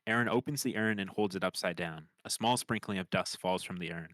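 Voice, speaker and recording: A man's voice: sits low, a little fast, a bit bright, no room sound. A man reading screenplay scene descriptions as scripted narration. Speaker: narrator reading stage directions.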